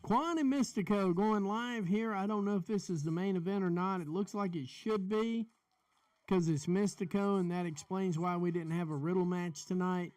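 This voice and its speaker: A man with a Southern drawl talks in a high voice, rambling and chatty as he hosts a live watch-along for his viewers. The sound is close and dry, with no room echo. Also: normal speed, a little mellow. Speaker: livestream watch-along host. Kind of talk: casual live commentary and chat.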